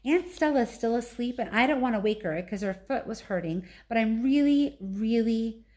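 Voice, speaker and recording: A woman's voice: a little high, animated, normal speed, dark tone, voice pushed up, close to an everyday voice. A woman voicing an eager, curious young female baby elephant in a storybook, chatty and full of breathless questions. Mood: bored, chatty.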